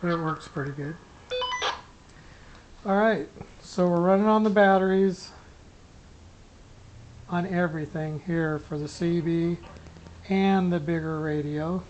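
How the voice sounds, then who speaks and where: Casual hobbyist rambling over clear background noise. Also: medium pitch, measured pace, long pauses, a little mellow, muffled recording. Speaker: hobbyist demonstrating a radio setup. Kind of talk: casual unscripted gear demo.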